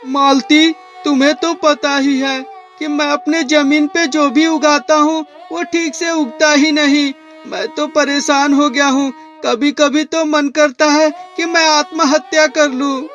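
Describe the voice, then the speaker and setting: An actor playing a poor, anxious village farmer in a moral story cartoon, speaking in a plaintive, dramatic way. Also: male voice, extremely high pitch, fairly even intonation, slow, fairly bright tone, dull audio, exaggerated voice. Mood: despairing, pleading. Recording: music behind the voice.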